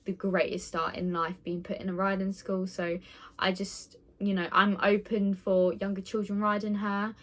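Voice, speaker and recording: A woman's voice: medium pitch, level tone, moderate pace. A nineteen-year-old woman chatting casually in a get-to-know-me vlog, rambling with lots of ums and little laughs. She records on her phone indoors with the window open. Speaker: vlogger.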